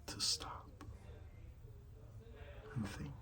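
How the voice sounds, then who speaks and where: A man speaking softly in an ASMR roleplay as an art gallery dealer, pausing mid-phrase and often slipping into a whisper. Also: distant mic. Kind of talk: soft-spoken ASMR roleplay, partly whispered.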